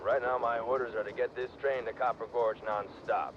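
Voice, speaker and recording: An actor playing a grumpy, gruff train engineer in a game, talking in a dismissive way. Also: male voice, mid-range voice, fairly even intonation, moderate pace, dull audio, background noise, lightly acted.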